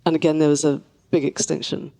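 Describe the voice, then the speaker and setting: A woman speaking as a scientist guest in an interview, explaining things in a measured way. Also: sits low, flat delivery, mellow, poor audio.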